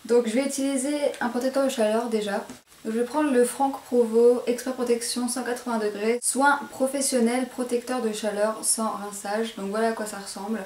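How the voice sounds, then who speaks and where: A woman's voice: on the high side, fairly even intonation, moderate pace, darkish tone. Chatty woman in an echoey room. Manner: self-conscious, careful about pronunciation. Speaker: beauty vlogger presenting a hair tutorial. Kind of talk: casual chatty tutorial to camera. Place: indoor room at home. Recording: roomy echo, faint background noise.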